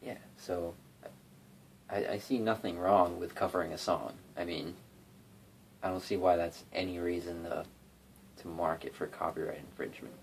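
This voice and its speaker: A man's voice: sits low, fairly even intonation, moderate pace, creaky, slight background noise. A young man rambling casually about covering songs, full of fillers and restarts, recorded on a phone in his bedroom. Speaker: YouTube cover singer and guitarist. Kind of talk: casual rambling vlog talk.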